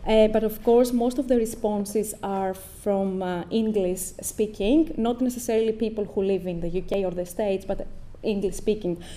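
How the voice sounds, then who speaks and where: A woman in her thirties with a Greek accent giving a conference presentation as an academic, explaining in a halting, self-correcting way. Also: mid-range voice, fairly even intonation, dark tone. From Greece. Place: indoor stone-walled venue, at a lectern. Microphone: lectern microphone.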